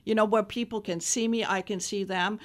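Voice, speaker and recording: An Austrian-accented woman talks as a guest in a radio studio. She tells her story earnestly, with small restarts, at a pitch that is neither high nor low. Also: fairly even intonation, brisk. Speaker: business school dean, guest.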